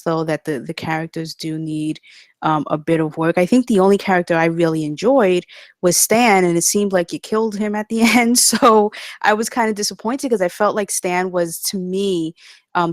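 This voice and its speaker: Casting: female table-read participant, low register, polite, tentative, informal delivery, very dry sound. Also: fairly even intonation, moderate pace, no echo. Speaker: narrator in a script table read, giving feedback. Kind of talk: informal spoken feedback.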